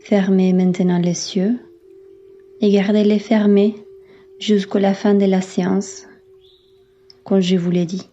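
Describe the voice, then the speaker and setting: A woman yoga instructor leading a guided relaxation in a calm, soothing, unhurried manner with long pauses. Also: medium pitch, dull audio, soft background music. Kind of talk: guided meditation, yoga nidra.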